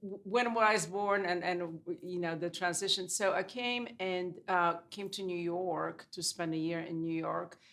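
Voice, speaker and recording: A woman's voice: fairly low pitch, steady tone, unhurried, vocal fry, hardly any pauses, a bit bright. Polish-born woman in her sixties. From Poland. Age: 60s. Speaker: guest dermatologist. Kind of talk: casual interview chat.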